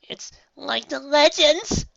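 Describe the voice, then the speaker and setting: A man's voice: a little high, a little fast, sharp tone, dry recording, muffled recording, voice pushed up. Nervous, whimpering storybook pony, voiced by a male reader. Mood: anxious. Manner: whimpering, anxious explaining. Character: nervous pony who knows old legends.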